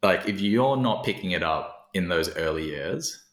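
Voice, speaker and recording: A man's voice: sits low, lively intonation, measured pace. A man in casual conversation as a podcast co-host, reflective and thinking aloud with fillers. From Australia. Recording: roomy echo.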